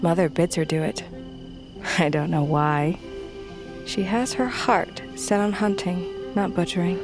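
A composed, wry young huntress. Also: female voice, on the low side, fairly even intonation, music in the background. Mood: matter-of-fact. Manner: measured, wry, formal archaic phrasing. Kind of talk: dramatic fantasy audio drama acting.

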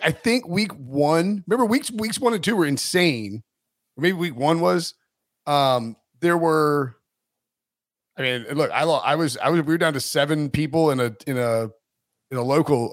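A man's voice: mid-range voice, no room sound, studio quality. A man hosting a sports podcast in casual chat, rambling and interrupting himself, laughing often. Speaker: podcast host.

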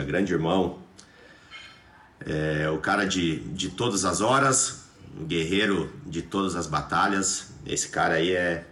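A man's voice: sits low, fairly bright tone, reverberant. A man sending a recorded tribute, full of affectionate praise. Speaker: former footballer sending a video message.

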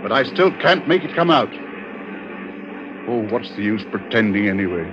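An actor playing a gruff, fretful middle-aged businessman in a 1940s radio thriller. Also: fairly low pitch, sing-song, normal speed, noisy background. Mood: weary, dreading. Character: gruff, fretful older businessman, the boss aboard a doomed plane. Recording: muffled old radio recording with music.

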